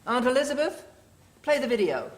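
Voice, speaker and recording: An actress playing a stern, sanctimonious matron of an indoctrination centre in an audio drama, condescending and preachy. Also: female voice, high voice, big pitch swings, fairly quick, over music. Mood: preachy, commanding. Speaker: actor.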